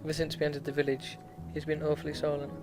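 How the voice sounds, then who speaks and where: A man with a slightly high voice reads a visual novel aloud as a streamer. He stumbles over the text and slips in casual, joking asides while game music plays clearly behind him. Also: completely flat delivery, rapid-fire.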